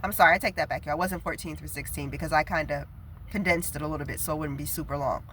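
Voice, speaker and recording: A woman's voice: deep voice, fairly even intonation, fast, a bit bright, slight background noise. Nasal-sounding woman with a head cold. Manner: chatty, earnest, rambling with fillers. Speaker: prophetic video preacher. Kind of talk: casual spoken prophetic message.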